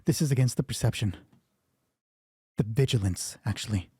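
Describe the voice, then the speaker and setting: A man narrating a solo tabletop roleplay as game master, improvising and thinking aloud in a halting way while reading out dice. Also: mid-range voice, warm tone, breathy edge, no echo, clean studio sound.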